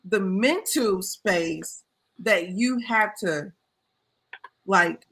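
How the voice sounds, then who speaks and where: A woman's voice: mid-range voice, drawn-out words, a bit bright. A woman talking passionately in a casual discussion, getting heated as she makes her points. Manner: passionate, impassioned.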